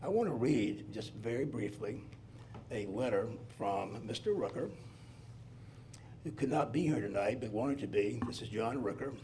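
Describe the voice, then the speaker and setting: A hoarse man giving a formal public comment at a city council hearing, speaking haltingly and correcting himself as he goes. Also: medium pitch, animated, dark tone, a little hiss or hum.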